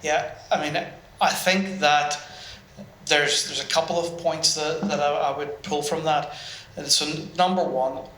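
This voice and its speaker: A man's voice: a little high, steady tone, a little slow, bright, forceful tone, a little hiss or hum. A man on a business panel, talking in a hesitant way with frequent restarts and fillers. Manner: hesitant, restarts, many ums. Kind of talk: panel discussion. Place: event room with audience. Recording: roomy hall.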